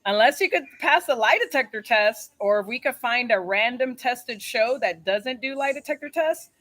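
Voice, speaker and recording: A young woman personal trainer talks bluntly and with lots of animation, swearing freely and laughing easily. Her voice sits a little high and comes across bright and forceful. The sound is dry and close. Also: animated, normal speed, no room sound. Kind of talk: casual chat, coaching a workout.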